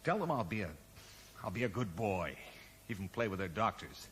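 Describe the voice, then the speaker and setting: An actor in a 1980s British radio drama playing a cornered, cold research scientist who rationalises smoothly and persuasively. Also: male voice, lowish voice, big pitch swings, moderate pace, a bit bright. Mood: pleading, persuasive. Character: cornered, calculating male research scientist, cold and self-justifying. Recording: reverberant radio drama recording, faint noise.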